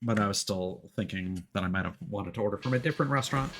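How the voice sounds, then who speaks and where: A man's voice: lowish voice, quick pace, background noise. A man giving casual live game-stream commentary, thinking aloud in a hesitant, rambling way. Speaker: streamer, VTuber.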